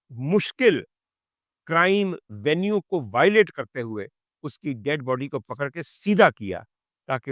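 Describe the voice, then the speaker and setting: A man's voice: fairly high pitch, fairly bright tone, no room sound, clean studio sound. A man with a Pakistani accent delivering dramatic crime commentary as a monologue, emphatic, rhetorical and indignant. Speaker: commentator, presenter.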